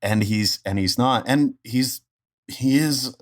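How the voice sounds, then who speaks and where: A man's voice: mid-range voice, takes it slowly. A man chatting casually as a podcast guest, with a wry, joking manner. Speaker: guest voice actor and stand-up comedian.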